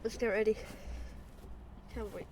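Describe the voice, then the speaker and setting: A woman's voice: on the high side, fairly even intonation, a little slow, a little mellow, a little hiss or hum. A woman streaming a game, reacting casually in slangy language.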